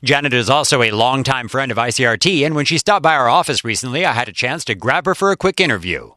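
A man's voice: medium pitch, lively intonation, fast, pushed and bright. A man hosting a radio interview, asking questions in a playful, teasing way. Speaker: radio host.

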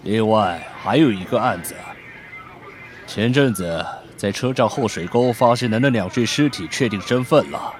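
A man's voice: fairly low pitch, normal speed, lightly acted. A man playing a businesslike senior police detective in an audio drama, giving a plain, matter-of-fact briefing.

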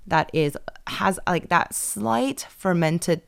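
A woman's voice: on the low side, takes it slowly, vocal fry, dry recording, studio quality. A woman from Taiwan, a brand co-founder, chatting in an interview with many fillers and restarts. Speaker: business owner guest. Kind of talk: casual interview chat.

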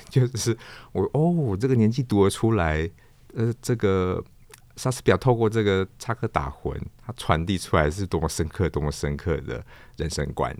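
Middle-aged male interview guest, Taiwanese accent, low-pitched, close dry sound, thoughtful and halting as he reflects. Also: a little mellow, no room sound. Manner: thoughtful, searching for words, repeats phrases. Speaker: guest, stage actor and storyteller.

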